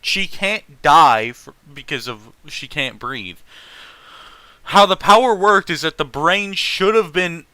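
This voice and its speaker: A man hosts a casual read-aloud with his own running commentary. His voice is bright and forceful, with lively swings in pitch. The recording is dry and close, and he speaks bluntly, swearing freely. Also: slow, clean tone, no room sound, poor audio. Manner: animated, opinionated, profane. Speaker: host reading fan fiction aloud.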